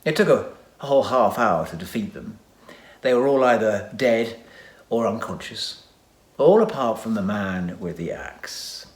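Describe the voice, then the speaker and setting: A man narrating a children's adventure story with dramatic reading and hushed asides. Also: on the low side, expressive, measured pace. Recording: echoey room.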